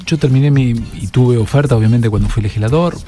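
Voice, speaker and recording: A middle-aged man with an Argentine Spanish accent, answering interview questions as a political candidate and pausing often on fillers and restarts. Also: mid-range voice, dark tone, faint music behind. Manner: measured, with many fillers and restarts.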